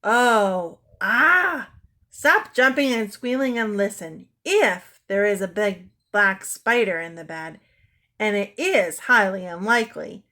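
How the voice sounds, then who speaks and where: A woman's voice: medium pitch, lively intonation, a little slow, a bit bright. A woman voicing an easygoing, playful father in a storybook. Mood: mild. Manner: mild, playful. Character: mild, easygoing father, jovial.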